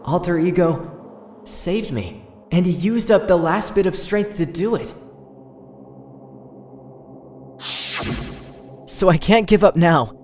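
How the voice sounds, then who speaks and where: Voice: mid-range voice, measured pace, a little mellow, a little hiss or hum, close to an everyday voice. A performer voicing an earnest teenage schoolboy, narrating his own story dramatically as an inner monologue in an amateur game dub. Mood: determined. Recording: roomy echo, music underneath.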